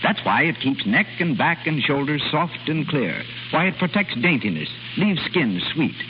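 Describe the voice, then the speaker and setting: A man's voice: on the high side, sing-song, normal speed. An American man announcing and selling in polished, persuasive 1930s radio style. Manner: polished, persuasive sales delivery. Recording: muffled, noisy 1930s radio recording.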